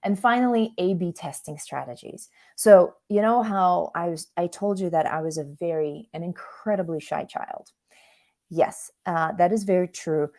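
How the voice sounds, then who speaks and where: Low-pitched Russian-born woman coaching a workshop. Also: moderate pace, darkish tone. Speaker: career coach, workshop host. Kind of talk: conversational online workshop presentation.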